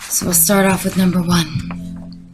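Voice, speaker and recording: A woman giving deadpan comedic commentary and dramatic readings, rambling through sarcastic, incredulous asides. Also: fairly low pitch, a little slow. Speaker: comedy video creator. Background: music.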